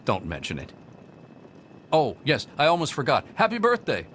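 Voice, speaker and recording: An actor playing a friendly, modest technician with a genial, avuncular manner in a video game. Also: male voice, medium pitch, wildly swooping pitch, normal speed, fairly bright tone, a little hiss or hum. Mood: genial, pleased.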